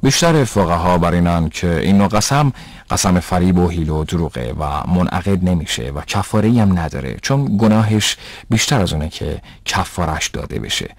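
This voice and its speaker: A man playing a patient, pious father who explains rules in a measured, didactic way in an educational cartoon. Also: deep voice, very animated, no room sound, full character voice. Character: patient, pious father teaching his son religious rules. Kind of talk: dubbed educational cartoon dialogue.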